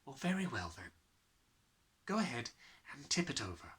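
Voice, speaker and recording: A gentle woman schoolteacher from a children's storybook, put on by a man. She speaks softly and patiently, a little puzzled, with a soothing care and a very mobile pitch. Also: very animated, normal speed. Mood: patient, indulgent. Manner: soft, careful, soothing.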